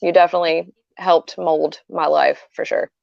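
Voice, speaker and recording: A woman in her thirties speaks in a low, gravelly yet smooth voice, full of admiration. Her talk is casual and eager. The sound is dry and dull, as if recorded lo-fi. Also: little pitch movement, moderate pace, dry recording. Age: 30s. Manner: enthusiastic and admiring, with casual "like" and "you know". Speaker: fan asking the guest questions.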